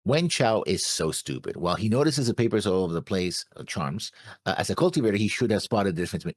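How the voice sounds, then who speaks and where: A very low-voiced man with a husky edge and lively swings in pitch. He explains things casually in halting, measured phrases, recorded dry. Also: no room sound. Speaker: co-host of an anime reaction show.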